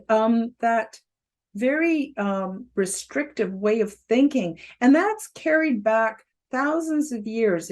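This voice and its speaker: A woman around seventy with a loud, carrying voice, giving a casual self-help talk with fillers and emphatic rises. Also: fairly high pitch. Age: about 70. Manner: loud voice that carries, outspoken. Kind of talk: casual spoken presentation to camera.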